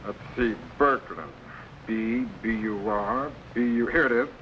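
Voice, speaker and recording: Male space-time explorer, acted: calm authority, crisp measured orders, bright tone, faint hiss of an old serial. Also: medium pitch, natural voice, rough recording. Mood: commanding, precise. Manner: instructive, precise, measured commands. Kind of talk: 1950s science fiction serial acting.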